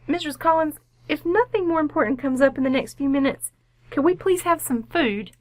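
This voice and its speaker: Wife, played by a woman: patient radio-comedy mother, a little high-voiced, courteous with a dry tease. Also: lively intonation, moderate pace, a little mellow, no room sound. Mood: wry politeness. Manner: polite, soothing, dryly teasing. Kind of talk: old-time radio comedy acting.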